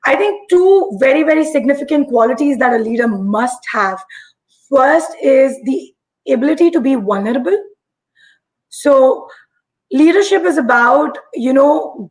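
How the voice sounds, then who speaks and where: Husky-voiced woman telling her story. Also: high voice, poor audio. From India. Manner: earnest, reflective storytelling. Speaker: guest. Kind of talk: live-streamed conversation.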